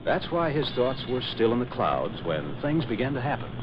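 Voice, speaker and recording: A man's voice: medium pitch, big pitch swings, moderate pace, noisy background. A man narrating as the announcer of a dramatic 1940s radio serial. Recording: muffled old radio recording with music.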